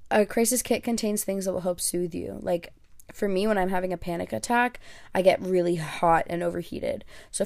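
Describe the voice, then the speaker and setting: A young woman, Greek by first language, hosts a solo podcast in a rambling, self-deprecating chat full of little fillers. Her voice has a gravelly, fry-tinged texture at an ordinary pitch. The sound is dry and close, with no room around it. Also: a little mellow, dry recording. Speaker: podcast host. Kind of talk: casual solo podcast talk.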